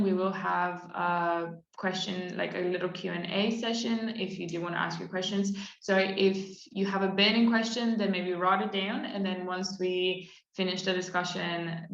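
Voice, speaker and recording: Moderator: woman, slow and friendly, roomy dull video-call sound. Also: fairly low pitch, vocal fry. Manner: friendly, instructive. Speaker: panel moderator. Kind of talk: moderating an online panel.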